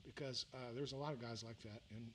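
Brief: older Southern-raised male musician, loose rambling stage banter, mid-pitched voice, faint room hum behind. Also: brisk, low-quality recording. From Mississippi, raised in Louisiana. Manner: rambling, relaxed, full of fillers and restarts. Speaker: musician performing a livestream. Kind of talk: casual between-song chat. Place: small music venue, indoors. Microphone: vocal mic on a stand.

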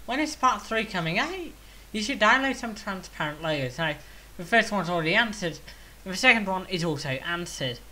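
A young man giving casual, unscripted video commentary in a halting way, thinking aloud. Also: sits high, sharp tone. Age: young adult. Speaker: video creator commenting on viewer feedback. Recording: hissy home recording.

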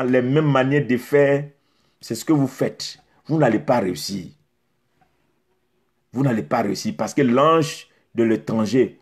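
A middle-aged male pastor preaches into a close phone camera. His voice is husky and breathy, set at a medium pitch. He hammers his points home with rhetorical questions and repeated phrases. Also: darkish tone. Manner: exhorting, rhetorical questions, emphatic repetition. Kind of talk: preaching sermon. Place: indoors in front of a beach backdrop.

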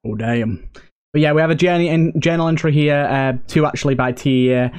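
A man's voice: on the high side, a little fast, a little mellow, smooth. A man giving casual, chatty let's play commentary, thinking aloud as he hosts. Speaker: gaming host.

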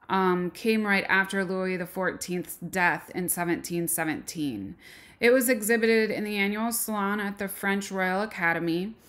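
A woman giving a recorded art history lecture over slides, pausing often with ums. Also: mid-range voice, fairly bright tone. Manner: slow, with frequent ums and pauses.

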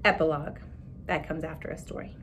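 A woman in her thirties reading a picture book aloud expressively like a teacher, with casual questions to children. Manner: animated storytelling, pausing to ask children questions. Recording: clear background hiss and low rumble.

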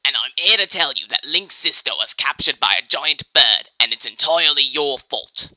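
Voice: medium pitch, expressive, normal speed, bright, forceful tone, no echo, poor audio. An amateur performer voicing a pompous, blunt male bird-man postman, declaiming matter-of-factly in a video game parody.

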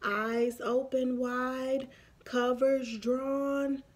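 A woman in her thirties reading a picture book aloud expressively for young children, pausing for explanatory asides. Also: high voice, flat delivery. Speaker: children's story reader. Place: small room at home, indoors.

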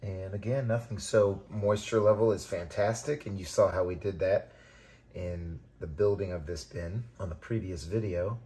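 Low, mellow-voiced man explaining calmly. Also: normal speed. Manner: relaxed, conversational, talks while working. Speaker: vermicomposting channel host. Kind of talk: hands-on tutorial narration.

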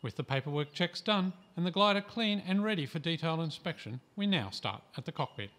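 Calm man narrating a training video. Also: a little high. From Australia. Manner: calm, measured and instructive. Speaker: instructional narrator. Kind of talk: scripted training voice-over.

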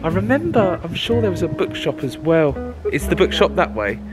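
A man's voice: fairly high pitch, sing-song, normal speed. Chatty young Englishman vlogging, music behind. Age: young adult. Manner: enthusiastic, chatty. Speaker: travel vlogger. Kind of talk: casual vlog chat to camera. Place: outdoors in castle grounds and parkland, some inside a shop. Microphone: camera held at arm's length.

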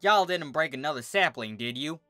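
A reader plays an easygoing female farm pony in a storybook. She speaks in a plain, folksy Southern US drawl, bright and animated, with music underneath. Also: medium pitch, moderate pace, no room sound. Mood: suspicious. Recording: music behind the voice.

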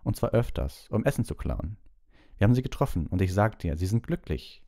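Man playing a cheeky schoolboy, pitched up. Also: very animated, fairly quick, dark tone. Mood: confiding. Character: cheeky, confiding teenage schoolboy.

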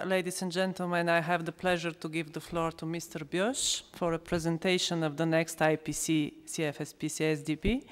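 A woman chairing a conference, making formal and polite announcements. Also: lowish voice. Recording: long hall reverb.

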